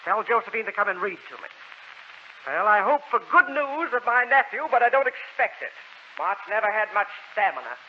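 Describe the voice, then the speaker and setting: An actress playing a stingy, grumpy old aunt who scolds and complains brusquely in a 1940s American radio drama. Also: female voice, medium pitch, big pitch swings, fairly quick, natural voice. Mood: scolding. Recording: muffled, noisy 1940s radio recording.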